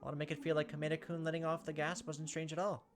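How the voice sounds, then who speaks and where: A man's voice: a little high, monotone, fast, a little hiss or hum. A man hosting a gameplay video, reading a visual novel aloud as dramatized, playful narration.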